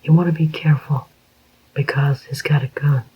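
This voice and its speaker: A reader whispering the part of a calm, scheming male club owner in a slow, even voice. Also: a little high, husky. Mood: curt. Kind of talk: whispered audiobook reading. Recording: dull lo-fi recording.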